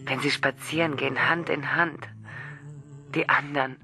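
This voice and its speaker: An actress playing the seductive, intimate voice of a mobile phone in a radio crime drama, speaking softly and coaxingly over music. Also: female voice, extremely low pitch, fairly even intonation, normal speed. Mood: melancholy.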